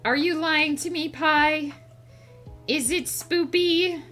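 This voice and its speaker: A woman's voice: high-pitched, slow, fairly bright tone, faint music behind. A woman livestream host chatting casually with viewers in a playful, cutesy put-on character voice.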